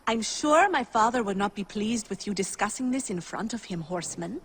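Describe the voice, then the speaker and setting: A woman's voice: medium pitch, animated, fairly quick, a bit bright, breathy edge. A woman playing a pampered, gushing young rich girl in a video game. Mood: haughty. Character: pampered rich merchant's young daughter, adoring and haughty. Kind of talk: video game character acting.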